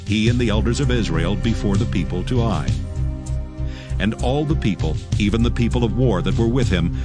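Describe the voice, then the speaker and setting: A man narrating a dramatized Bible reading in a formal, solemn manner. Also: on the low side. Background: loud background music.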